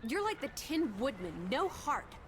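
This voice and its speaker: Game role: headstrong young woman, high and very lively pitch, theatrical, bossy, inquisitive. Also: measured pace, a little hiss or hum. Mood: scolding. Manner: bossy, theatrical, teasing and scolding. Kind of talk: video game drama acting.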